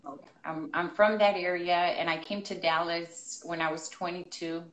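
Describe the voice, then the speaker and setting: A woman's voice: fairly low pitch, steady tone, moderate pace, echoey room, low-quality recording. A middle-aged woman with a Spanish-tinged Texas border accent, a guest talking casually and laughing often. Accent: Mexican-American Spanish-tinged. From Texas, US–Mexico border. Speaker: guest, dancer. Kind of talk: casual interview.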